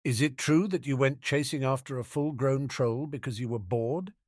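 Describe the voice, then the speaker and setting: A man's voice: mid-range voice, moderate pace, darkish tone, slightly rough, dry recording, studio quality, pitched above the performer's own voice, close to an everyday voice. A man voicing a cheerful, blunt teenage witch with a breezy, teasing way of talking in a storybook reading.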